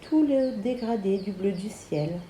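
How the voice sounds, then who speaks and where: A woman leading a guided yoga relaxation as instructor, speaking in soothing, measured phrases with pauses. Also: medium pitch, lively intonation, warm tone. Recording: echoey room, background noise, birdsong.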